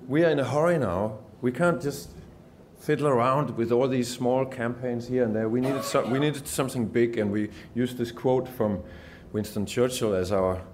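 A man's voice: mid-range voice, normal speed, darkish tone, a little hiss or hum. A man with a Danish accent giving an earnest conference speech as a journalist, often restarting and repeating words. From Denmark. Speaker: journalist giving a summit talk.

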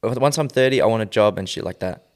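Twenties man, low voice, relaxed slangy podcast guest. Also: quick pace. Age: 20s. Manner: laid-back, slangy, says "bro" often. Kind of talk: casual chat.

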